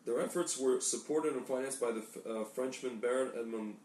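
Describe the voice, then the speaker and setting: A man's voice: medium pitch, brisk, a little mellow. A man co-hosting a casual talk show, reading aloud from documents and often stumbling and correcting himself. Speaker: podcast co-host. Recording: echoey room, distant mic, faint noise.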